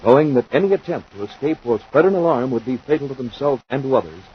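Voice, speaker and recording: A man narrating as a 1950s radio announcer, in a dramatic, deliberate scripted delivery. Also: mid-range voice. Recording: muffled, noisy 1950s radio recording.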